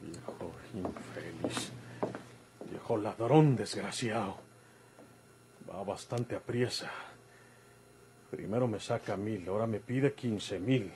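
A man's voice: fairly low pitch, slow pace, pauses often, slight background noise. An actor with a Mexican accent playing an aggrieved rustic man in a vintage radio drama, muttering colloquially. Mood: bitter grumbling. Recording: loud music under the voice. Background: loud music.

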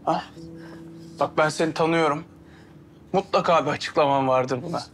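An actor in his thirties playing a calm, protective man soothing someone, in a TV drama. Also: fairly high pitch, moderate pace, close to an everyday voice. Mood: soothing, concerned. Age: 30s. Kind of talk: restrained TV drama acting. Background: faint music.

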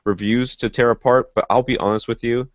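A man's voice: medium pitch, fairly even intonation, fairly quick, dry recording. A middle-aged man hosting a casual podcast from home, tired and sick and losing his voice, talking over a headset on a video call. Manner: tired, wrapping up. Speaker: podcast host. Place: home room, in armchair. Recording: dry, slightly dull video-call audio.

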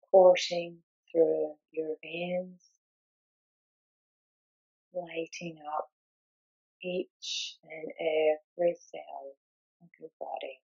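A woman leading a guided meditation, giving gentle, soothing instructions in short, unhurried phrases with long pauses. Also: low-pitched, creaky, husky, muffled recording.

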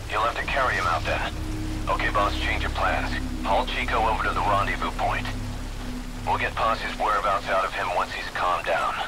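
Man voicing military support officer, video game, terse, businesslike, instructive, loud ambient noise behind. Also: lowish voice, normal speed, close to an everyday voice. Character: male military radio support officer, middle-aged, businesslike. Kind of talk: video game mission briefing.

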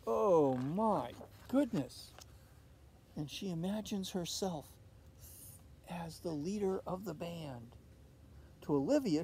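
Older guy reading a kids picture book outside, super animated, asks the kids questions, bit of background noise. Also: high voice. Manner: expressive, asks tag questions. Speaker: storybook reader. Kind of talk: picture-book read-aloud with questions to young listeners. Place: outdoors in a leafy fenced yard. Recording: outdoor recording with faint ambient noise.

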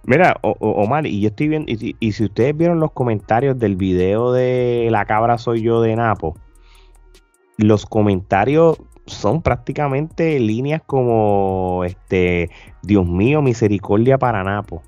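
A man's voice: on the low side, a little slow, darkish tone, dry recording, soft background music. A man chatting casually as a podcast host, repeating himself to make his point. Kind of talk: casual reaction podcast chat. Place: indoor room, remote video call. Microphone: close desk podcast microphone with headphones.

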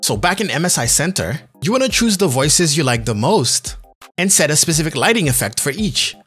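A man's voice: on the high side, big pitch swings, a little fast, fairly bright tone, faint music behind. A man presenting a tech product in an upbeat, scripted promotional style.

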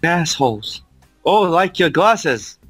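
Pria muda beraksen Aljazair, defensif dan suka mengumpat, nada tinggi dan naik-turun, lewat panggilan video. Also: male voice, a little high, big pitch swings, takes it slowly, fairly bright tone, soft background music. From Algeria. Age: young adult. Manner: defensive, swearing. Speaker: video chat stranger. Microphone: video call.

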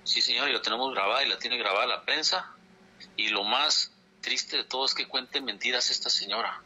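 A man's voice: medium pitch, a little fast, sharp tone, husky. Indignant man on the phone. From Chihuahua, Mexico. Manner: indignant, insistent, repeats for emphasis. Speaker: dairy farmers' leader. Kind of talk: phone interview statement. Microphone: telephone. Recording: phone line.

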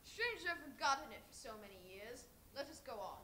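High, bright, commanding ghost played by a woman. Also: big pitch swings, quick pace, full character voice. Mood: gently probing. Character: solemn, commanding ghost of Christmas past. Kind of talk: live staged reading of a Christmas play. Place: stage in a dim auditorium. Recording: echoey live stage, faint room noise.

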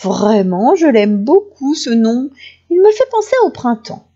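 A woman's voice: a little high, big pitch swings, measured pace, warm tone, lo-fi sound. A woman voicing a whiny, put-upon male wolf in a children's story, with a plaintive manner. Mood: sugary sweet. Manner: sobbing, plaintive complaints.

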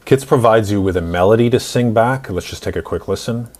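Man, 40s, low voice, instructional, step-by-step software tutorial narration. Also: brisk, a crowd in the background. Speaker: tutorial host.

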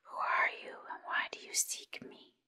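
A woman voicing a fierce wizard in the shape of a fireball, speaking in a quiet, imperious storybook whisper. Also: takes it slowly. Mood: commanding. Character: fierce ball of fire, quiet, commanding wizard.

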